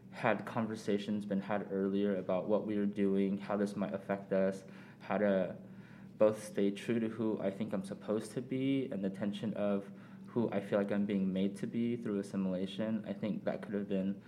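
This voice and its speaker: A young man born in South Korea speaks as a podcast guest. His voice is low and mellow, with a flat, even delivery. He talks thoughtfully, pausing often and filling gaps. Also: a little hiss or hum. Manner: thoughtful, measured, many pauses and fillers. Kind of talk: casual reflective conversation.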